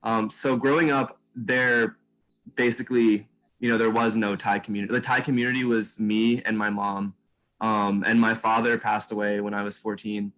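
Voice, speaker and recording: A man's voice: fairly low pitch, flat delivery, moderate pace, fairly bright tone, poor audio. A young man from Virginia telling his background in a reflective way, with frequent "um". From Virginia, United States. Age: young adult. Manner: reflective, uses "um" often. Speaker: scholarship recipient guest. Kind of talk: casual interview answers.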